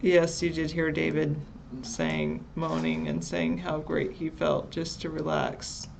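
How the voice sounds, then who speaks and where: A woman co-hosting a casual live chat show, relaxed and chatty, answering viewers by their usernames. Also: sits low, slow, gravelly, a little hiss or hum.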